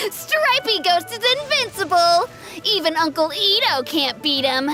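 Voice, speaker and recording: A woman voicing an earnest, proud little village boy with a high boyish voice in a video game. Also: big pitch swings, slow pace, full character voice. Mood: gleeful, boastful. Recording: game music behind.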